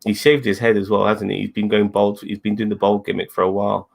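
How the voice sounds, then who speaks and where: A man's voice: fairly low pitch, flat delivery, quick pace, vocal fry. A man co-hosting a casual wrestling review, rambling, repeating himself and swearing freely. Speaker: co-host.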